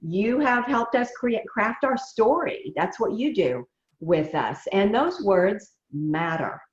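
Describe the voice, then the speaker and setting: A woman, a voice coach giving measured, emphatic advice in a remote interview. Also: mid-range voice, animated. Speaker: voice coach guest. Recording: roomy, dull lo-fi sound.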